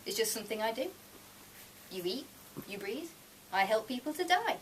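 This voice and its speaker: A woman's voice: a little high, very animated, normal speed, voice pushed up. A woman voicing a casual, matter-of-fact man who is Death, in a live story reading. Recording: distant mic, noisy room ambience.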